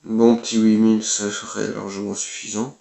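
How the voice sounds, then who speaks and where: A man's voice: lowish voice, little pitch movement, normal speed, vocal fry, warm tone. A man, who has a cold, giving casual, blunt let's play commentary as a gaming YouTuber and swearing offhandedly.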